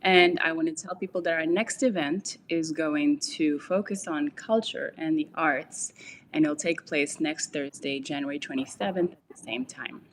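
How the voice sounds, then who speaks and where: Low-voiced woman hosting an online panel. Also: moderate pace, slight background noise. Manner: reads a formal introduction with enthusiasm. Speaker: event host.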